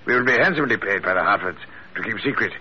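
An actor playing a theatrical, gloating gentleman blackmailer in florid, formal speech, in classic radio drama style. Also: fairly low pitch, lively intonation, racing, breathy edge. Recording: muffled old radio broadcast, faint hiss.

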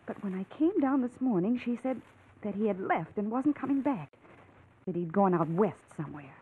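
A woman's voice: medium pitch, lively intonation, moderate pace, close to an everyday voice. An actress playing a desperate, earnest young farm woman in a 1940s radio drama, pleading urgently for help. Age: young adult. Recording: narrow-band old radio, faint noise.

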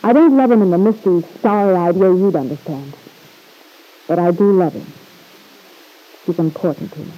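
A woman's voice: on the low side, normal speed, long pauses, natural voice. An actress playing a proud, hard-edged young married woman in an old-time radio drama, with cool, sardonic retorts. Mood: defiant, determined. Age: young adult. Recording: dull, lo-fi vintage radio recording.

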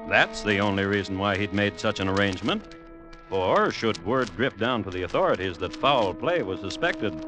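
Low, swooping radio storyteller. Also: male voice. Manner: wry, theatrical storytelling with deliberate pauses. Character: wry storyteller chronicling a village murder tale. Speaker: narrator. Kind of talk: classic radio drama narration.

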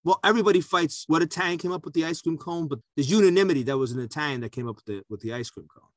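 A man's voice: fairly high pitch, very fast, slight background noise. A talkative man co-hosting a food podcast, telling stories and jokes in a casual chat. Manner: talkative, joking storyteller. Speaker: podcast co-host.

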